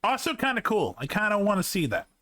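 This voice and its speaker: A man chatting casually on a stream, reacting excitably and swearing casually. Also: sits high, fast. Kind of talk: casual chat.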